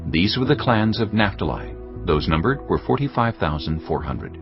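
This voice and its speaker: A man narrating scripture from a script in a solemn, deliberate manner. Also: sits low. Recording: slightly muffled, music underneath. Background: background music.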